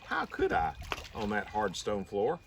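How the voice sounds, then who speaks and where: Animated man reading a children's story. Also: on the low side, unhurried, vocal fry. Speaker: storybook reader. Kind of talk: animated read-aloud of a rhyming children's story. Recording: slightly distant mic, faint background noise.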